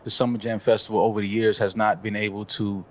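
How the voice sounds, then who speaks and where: A man co-hosting a talk show, giving opinions in a measured, filler-heavy way. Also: on the low side, little pitch movement, a little hiss or hum. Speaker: podcast co-host. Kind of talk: casual chat.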